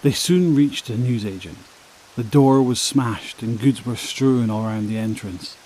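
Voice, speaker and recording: A man's voice: mid-range voice, measured pace, warm tone, a little hiss or hum, low-quality recording. A man reading scripted story narration for an audio drama.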